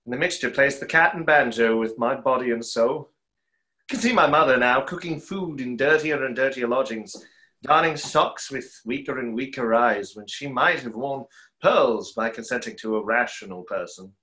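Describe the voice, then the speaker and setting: A man's voice: mid-range voice, moderate pace, bright, forceful tone, natural voice, rough recording. A young man playing a tormented, lovestruck conjurer in a stage play reading, with theatrical, emphatic delivery. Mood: anguished. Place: small room at home, video call.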